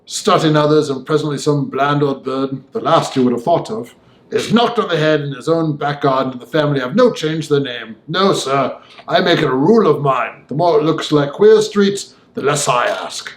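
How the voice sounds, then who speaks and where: A man voicing an opinionated young gentleman in an audiobook, talking in a formal, emphatic, discursive way. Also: a little high, moderate pace, roomy sound, lightly acted. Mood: emphatic, principled. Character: opinionated, talkative young Victorian gentleman, Mister Enfield. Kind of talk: audiobook character voice.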